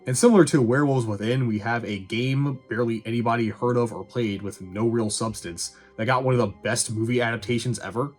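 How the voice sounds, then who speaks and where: A man's voice: medium pitch, fairly quick, few pauses, faint music behind. A man giving a casual, opinionated movie review in a wry, sarcastic, conversational way. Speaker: film reviewer and host.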